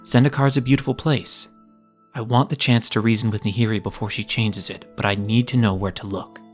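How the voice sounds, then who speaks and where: A man's voice: fairly low pitch, fairly even intonation, fairly quick, soft background music, lightly acted. A man playing an earnest, determined young mage in a dramatized audio story. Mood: earnest, restrained.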